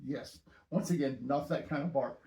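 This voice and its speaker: A man hosting a casual stamp-collecting show, with dry, deadpan banter. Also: mid-range voice, moderate pace, creaky, roomy sound. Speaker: host.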